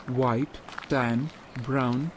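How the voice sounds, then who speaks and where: Slow soothing male meditation guide. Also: mid-range voice, fairly even intonation, a little hiss or hum, rough recording. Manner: slow, soothing, evenly paced.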